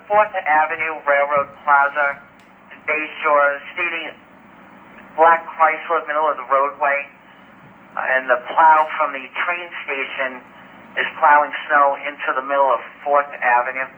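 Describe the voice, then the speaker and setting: A man's voice: on the high side, steady tone, a little slow, slight background noise. A man working as a police dispatcher, reading out incident reports over a scanner radio. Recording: tinny, narrow-band police scanner radio.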